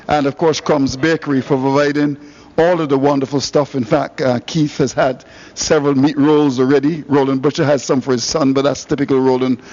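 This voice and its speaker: A man's voice: medium pitch, darkish tone. A man hosting cricket radio, giving on-air thanks with jovial, laughing asides. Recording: dull broadcast audio.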